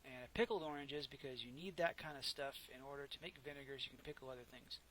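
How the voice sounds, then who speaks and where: A soft-spoken man casually narrating his own gameplay, rambling and correcting himself as he goes, close to a desk microphone. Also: medium pitch, fast, slight background noise, rough recording. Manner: rambling, self-correcting, chatty. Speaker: gameplay commentator. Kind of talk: casual let's play commentary.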